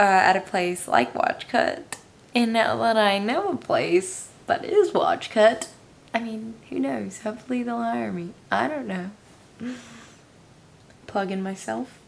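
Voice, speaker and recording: Tired raspy girl in her 20s chatting to camera from her room, lots of ums, pokes fun at herself. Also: mid-range voice, takes it slowly. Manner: self-deprecating, hesitant with many ums. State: tired with a headache. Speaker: vlogger answering viewer questions. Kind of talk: casual Q&A chat.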